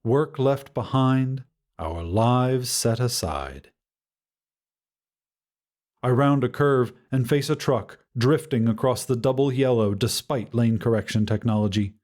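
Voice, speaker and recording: A man narrating a scripted first-person story in a measured, dramatic way with deliberate pauses. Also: medium pitch, animated, a little mellow. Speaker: audiobook narrator.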